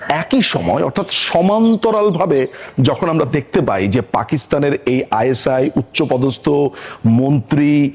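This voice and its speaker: A man's voice: on the high side, lively intonation, measured pace, a little mellow, breathy edge. A man with a Bangladeshi accent hosting political commentary in an emphatic, rhetorical manner. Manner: emphatic, rhetorical questions and repetition. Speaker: political commentator and show host.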